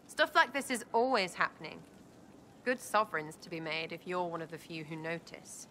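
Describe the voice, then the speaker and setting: A cheeky young female elf from a video game with a bright, forceful voice. Her British accent is slangy and irreverent. There is faint ambience behind her. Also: lowish voice, animated, normal speed, slight background noise. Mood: earnest, scheming. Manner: breezy, slangy, impish. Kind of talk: video game dialogue acting.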